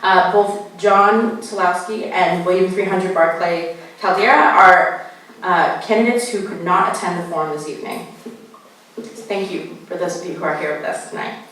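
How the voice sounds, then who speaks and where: A woman moderating a candidate forum, reading the ground rules carefully and slowly spelling out web addresses. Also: on the low side, fairly bright tone. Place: college meeting room. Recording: echoey hall, voice from far off.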